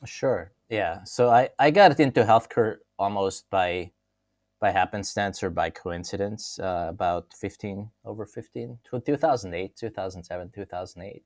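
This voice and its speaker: A man's voice: fairly low pitch, moderate pace, no room sound, clean studio sound. A middle-aged man from Austria, a company CEO talking through his career in a podcast interview, thoughtful, often restarting his phrases. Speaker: CEO guest. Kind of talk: conversational interview.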